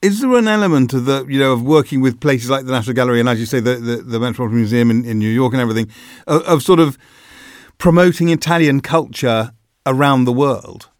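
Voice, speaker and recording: Halting male interviewer in an echoey gallery. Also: mid-range voice. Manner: halting, repeats words as he asks. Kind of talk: interview questions. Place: art gallery. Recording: roomy gallery echo.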